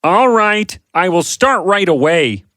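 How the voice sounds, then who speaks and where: A man's voice: high voice, very animated, slow pace, bright, forceful tone, no room sound, clean studio sound, voice pushed up, heavily acted. A man voicing an honest, humble village painter in a storybook tale, speaking politely and earnestly. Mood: willing, polite.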